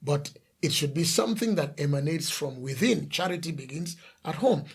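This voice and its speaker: A man's voice: fairly high pitch, animated, moderate pace, vocal fry, breathy edge. A man from The Gambia preaching a sermon as a pastor, emphatic, asking rhetorical questions and repeating lists of phrases.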